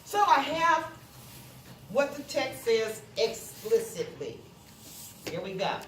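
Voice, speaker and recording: A woman's voice: a little high, very animated, takes it slowly, far from the mic. Woman teacher in a noisy, roomy classroom. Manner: instructional, repeats and checks for understanding. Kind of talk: classroom read-aloud lesson, explaining step by step with questions to students. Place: classroom, indoors.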